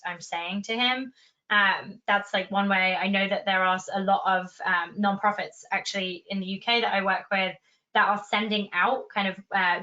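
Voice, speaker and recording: A woman's voice: medium pitch, pushed and bright. A woman answering questions conversationally as a presenter in an online webinar. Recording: dull, lo-fi online call audio.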